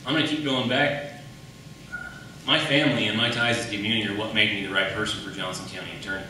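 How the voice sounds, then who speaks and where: Echoey, bright-voiced man debating. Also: lowish voice, steady tone, fast. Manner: emphatic, rhetorical repetition and questions. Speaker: candidate for county attorney. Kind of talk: political debate speech. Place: large echoey room at a public debate. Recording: echoey room, distant mic, faint noise.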